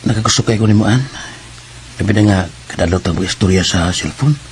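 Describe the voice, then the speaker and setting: An actor playing a young, possessive Bisaya suitor in a Filipino radio drama. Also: male voice, fairly low pitch, brisk, slight background noise, natural voice. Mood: earnest, pleading. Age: young adult. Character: young male suitor, earnest, possessive and jealous.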